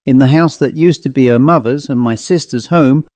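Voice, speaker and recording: A man's voice: mid-range voice, moderate pace, a little mellow, dry recording, lightly acted. A man voicing an earnest, anxious country woman, an aunt in a storybook, hesitant yet insistent. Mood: indignant.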